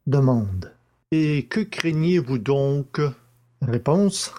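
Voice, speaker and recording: A man reading a magistrate's questions deliberately in a dramatised audiobook. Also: mid-range voice, lively intonation, mellow.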